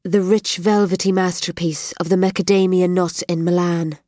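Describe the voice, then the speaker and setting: Low-voiced woman reading an audiobook. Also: no echo, studio quality. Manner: measured, expressive storytelling. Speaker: audiobook narrator. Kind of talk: scripted audiobook narration.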